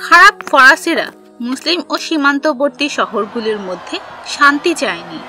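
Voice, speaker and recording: Woman narrator, very lively intonation, bright voice, scripted storytelling for a children's cartoon, simple deliberate phrasing. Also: high-pitched, faint music behind.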